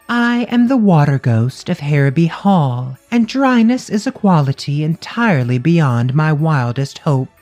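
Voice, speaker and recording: A male narrator voicing a haughty drowned maiden ghost with a gurgling voice, speaking slowly and loftily. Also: a little high, a little mellow, pitched above the performer's own voice. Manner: slow, lofty and reproachful. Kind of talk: audiobook character voice.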